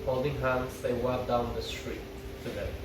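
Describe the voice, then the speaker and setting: A man's voice: mid-range voice, level tone, normal speed. Guy at a fan read-through, casual, far from mic, echoey and noisy. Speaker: fan table reader and commentator. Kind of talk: reading stage directions, then casual fan chat.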